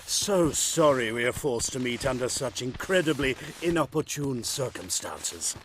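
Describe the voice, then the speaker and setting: Polite nerdy mage for a video game, mid voice, wordy and eager, bit of noise behind. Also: male voice, mid-range voice, lively intonation, a little slow, a bit bright. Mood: eager, apologetic. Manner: formal, effusive, wordy. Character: polite, eager, bookish male alchemist-mage. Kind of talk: video game dialogue acting.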